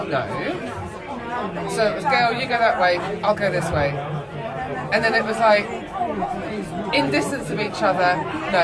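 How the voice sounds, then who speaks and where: A middle-aged woman telling family stories casually, acting out bits of dialogue and swearing freely. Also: mid-range voice. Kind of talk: casual animated storytelling. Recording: busy public room chatter.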